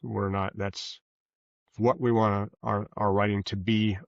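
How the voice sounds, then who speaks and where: Male interview guest, low and gravelly, halting and discursive, on a muffled lo-fi recording. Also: little pitch movement, dry recording. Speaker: guest author. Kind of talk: interview answers, conversational. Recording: dull, lo-fi sound.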